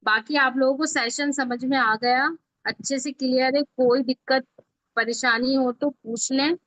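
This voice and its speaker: A woman's voice: sits high, fairly even intonation, a little slow, fairly bright tone, dry recording. A woman instructor giving an informal live online tutorial, explaining step by step and often checking that learners follow. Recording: dull lo-fi online call audio.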